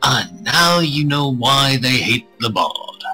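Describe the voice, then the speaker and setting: A man streaming a visual novel, reading it aloud with crude, irreverent joking asides, in a husky voice. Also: medium pitch, big pitch swings, slow, rough recording. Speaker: game streamer. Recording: music playing behind the voice.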